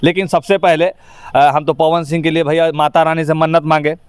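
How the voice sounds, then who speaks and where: A man's voice: fairly high pitch, flat delivery, a little fast, clear, resonant tone, dry recording. A man with a Bihari accent giving his opinions in an informal interview, speaking emphatically in colloquial, rustic phrasing. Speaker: interview guest, actor.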